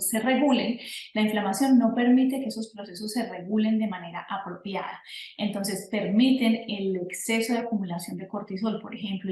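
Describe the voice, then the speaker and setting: A woman with a middle-pitched voice explains health topics fluently as an interview guest. Her voice rings in a noticeably echoey room. She gives clear, advisory explanations. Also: darkish tone. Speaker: nutrition guest expert.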